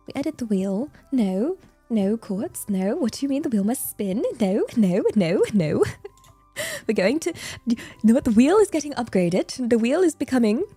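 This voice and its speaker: A woman with an exaggerated posh British accent, chatting casually to livestream viewers in a playfully prim, flustered manner with a breathy voice. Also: on the high side, mellow. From Britain. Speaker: livestream host.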